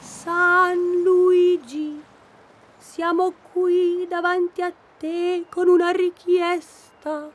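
A woman's voice: very high-pitched, flat delivery, drawn-out words, exaggerated voice. A woman playing an earnest, hopeful hiker in an audio drama, explaining things and insisting on her beliefs. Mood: reverent.

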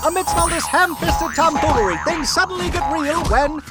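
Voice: very high voice, normal speed. A narrator delivering scripted comedy in a theatrical, wry way. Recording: loud music behind the voice.

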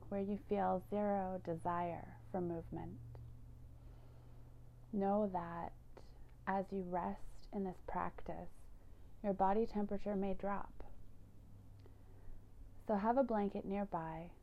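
A woman yoga nidra teacher gently guiding a meditation in a soothing, unhurried manner, with phrases that trail into breathiness. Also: medium pitch, lo-fi sound. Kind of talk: guided meditation narration.

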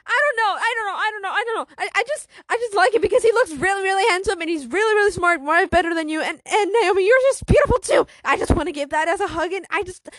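Woman voicing a panicky stammering schoolgirl, very high-pitched. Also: fairly bright tone, no room sound. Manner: stammering, repetitive, panicky rambling. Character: Annika, anxious schoolgirl, flustered and stammering, gushing about crush. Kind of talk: acted storytelling.

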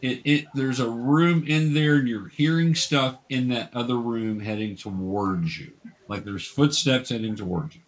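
Male host and game master, mid-pitched voice, casual and patient explainer with halting delivery. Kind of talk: casual explaining while running a tabletop game. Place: remote video call.

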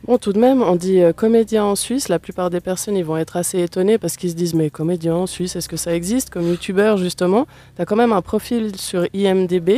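A radio host asks long, rambling questions in a relaxed, chatty way. The voice sits in a middle range with a warm, dark tone. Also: medium pitch, a little fast, hardly any pauses. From Switzerland. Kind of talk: radio interview.

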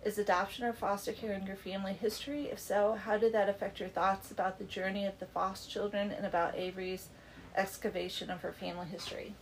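A woman's voice: mid-range voice, little pitch movement, fast talker, poor audio. A middle-aged woman with a stuffy, congested voice hosts a casual book podcast from her basement at home. She talks in a chatty, easygoing way and laughs easily, with faint ambient noise in the background. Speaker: podcast host. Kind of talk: casual book club chat.

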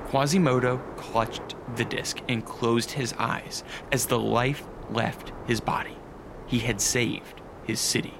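A man's voice: mid-range voice, steady tone, takes it slowly, creaky, noisy background. A man speaking as the narrator and presenter of a radio drama. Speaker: writer, producer and narrator.

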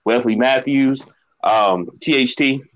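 A man on a sports podcast panel who gets animated and excitable about the game. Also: slow pace. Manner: animated, excitable, swings pitch up when worked up. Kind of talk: casual sports talk. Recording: muffled lo-fi mic.

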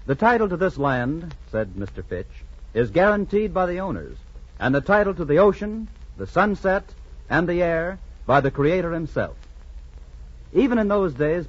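A man giving a formal, measured scripted announcement in 1940s radio style. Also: fairly high pitch, expressive, breathy edge, dry recording. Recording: muffled 1940s radio recording.

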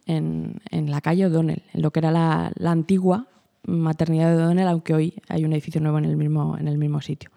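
A woman from answers interview questions. Her voice is mellow and warm. Her manner is quiet, reserved and thoughtful. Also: low voice, level tone, brisk, creaky. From Spain. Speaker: guest, journalist.

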